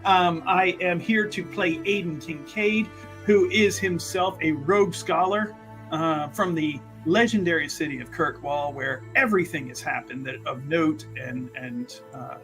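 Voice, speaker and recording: A man's voice: a little high, sharp tone, low-quality recording. A man speaking casually as a player on a tabletop game stream, in a wordy, mock-grandiose way.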